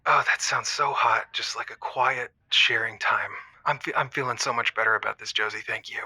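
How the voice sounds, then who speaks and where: A man playing an exhausted, overwhelmed boyfriend phoning a radio advice show, rambling and halting as he complains in an improvised comedy style. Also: mid-range voice, fairly even intonation, pushed and bright, husky, no echo, full character voice. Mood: relieved, hopeful. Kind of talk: improvised comedic phone-in monologue.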